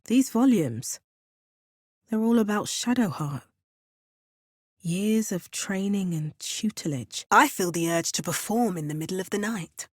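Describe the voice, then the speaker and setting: Casting: wry female adventurer for a fantasy RPG, measured and understated, mid-range voice, very dry studio sound. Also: medium pitch, expressive, slightly rough, no room sound. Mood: musing, wry. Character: adventurous, wry woman hero of a fantasy game. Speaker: video game voice actor. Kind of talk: scripted fantasy game dialogue.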